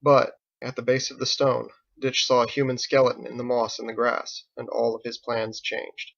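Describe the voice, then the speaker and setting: A man's voice: medium pitch, monotone, moderate pace, gravelly, fairly bright tone, highs cut off. A man reading scripted audiobook narration as the story's narrator. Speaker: author narrating his own story.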